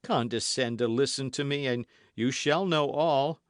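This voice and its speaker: A man's voice: mid-range voice, a little slow, clear, resonant tone, no room sound, clean studio sound, voice pushed up, close to an everyday voice. A man reading aloud, voicing a frightened innkeeper who pleads pitifully for mercy. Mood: pleading. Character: trembling, pleading middle-aged male innkeeper.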